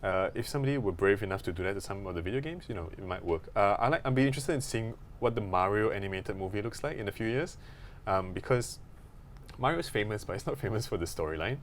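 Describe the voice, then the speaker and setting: A man's voice: lowish voice, lively intonation, fast. A man co-hosting a podcast, thinking aloud in casual discussion, with faint noise in the background. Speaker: podcast co-host.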